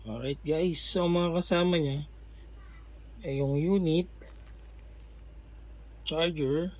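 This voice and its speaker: A man with a Filipino accent casually unboxing a gadget in a vlog, relaxed and chatty with lots of fillers. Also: a little high, slow, rough recording. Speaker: vlogger.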